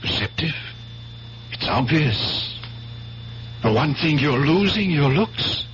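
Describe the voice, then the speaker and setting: An actor playing an earnest, blunt doctor, grave and emphatic, in a 1970s radio drama. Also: male voice, a little high, lively intonation, fairly bright tone, slight background noise. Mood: urgent concern. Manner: grave, emphatic, deliberate phrasing. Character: earnest, worried doctor, a man, blunt and insistent. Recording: dull, hummy old radio recording.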